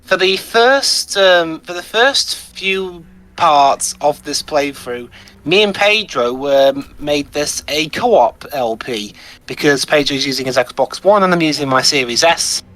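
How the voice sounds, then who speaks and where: A man co-hosting a game let's play, explaining the setup in a halting, hesitant way. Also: on the high side, animated, fairly bright tone. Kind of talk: casual gaming chat.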